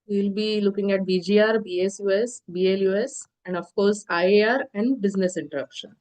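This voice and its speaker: A woman giving an online insurance lecture, sounding nasal from a cold, explaining steadily with filler pauses. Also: mid-range voice, steady tone, darkish tone, rough recording. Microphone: video call.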